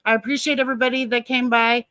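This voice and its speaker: A woman's voice: on the high side, flat delivery, fast talker, sharp tone, no echo. A woman hosting a casual livestream chat, playful and chatty, laughing often. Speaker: host.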